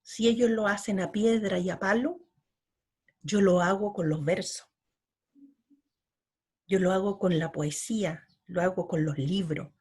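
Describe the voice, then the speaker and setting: Middle-aged chilean woman, slow earnest talk, kinda husky, poet guest in an interview. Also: mid-range voice, fairly even intonation, a little mellow. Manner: slow and earnest, with repeated words.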